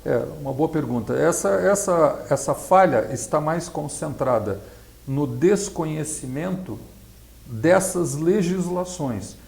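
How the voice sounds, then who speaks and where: A Brazilian man giving explanatory interview answers as a specialist, in a measured, didactic way. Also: on the high side, lively intonation, vocal fry, a little mellow. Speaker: accountant and project specialist being interviewed. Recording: echoey, roomy sound.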